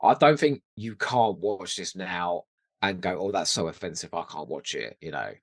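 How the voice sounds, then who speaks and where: A British man co-hosting a podcast, talking casually and giving his opinions with animated, sweary storytelling. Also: low-pitched, normal speed, dry recording, clean studio sound. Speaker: podcast co-host.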